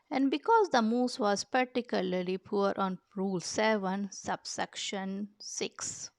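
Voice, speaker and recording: Female storybook narrator, unhurried and expressive, some creak in the voice, close dry sound. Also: medium pitch, no echo. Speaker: children's picture book reader. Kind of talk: storybook read-aloud narration.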